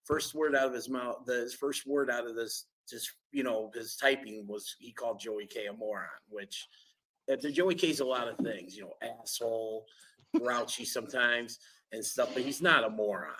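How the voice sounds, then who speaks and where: A man's voice: medium pitch, moderate pace, pushed and bright, husky, low-quality recording. A man co-hosting a casual live podcast, eager and rambling, often restarting his sentences. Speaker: podcast co-host.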